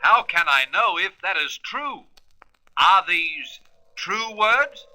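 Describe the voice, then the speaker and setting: An actor playing a daring, commanding space adventurer in a radio serial, earnest and emphatic. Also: male voice, high-pitched, expressive, takes it slowly, bright, forceful tone, faint music behind, full character voice, poor audio. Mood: stern, commanding.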